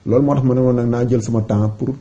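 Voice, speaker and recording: Dark, muffled Senegalese man explaining. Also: medium pitch, steady tone, fast. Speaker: presenter of an awareness tutorial. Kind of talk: informal explainer voice-over. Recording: dull, muffled lo-fi recording.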